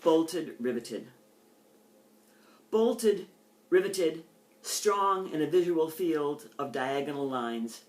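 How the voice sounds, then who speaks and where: The voice is an older woman's, with the careful phrasing of a poet at a reading. She speaks in a measured, deliberate way. The sound is strongly echoey, with the room clearly heard around her. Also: low-pitched, lively intonation, darkish tone. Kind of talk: poetry reading with informal introductions.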